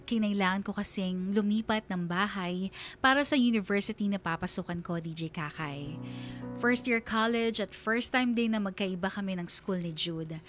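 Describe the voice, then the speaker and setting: A woman reading a scripted first-person radio drama narration in a confiding, sentimental manner. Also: medium pitch.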